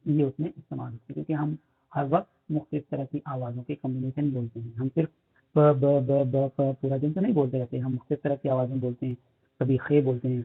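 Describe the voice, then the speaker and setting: A man with a Pakistani accent, teaching phonetics in a lecture. He mixes English terms into his explanations, uses many fillers and reads English lines slowly. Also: medium pitch, vocal fry, breathy edge. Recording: tinny phone-like audio.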